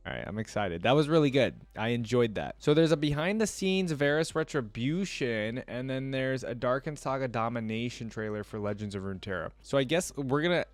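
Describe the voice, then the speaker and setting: A young man hosting a reaction video, thinking aloud casually and breaking into excited exclamations. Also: mid-range voice. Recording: voice over playing video music.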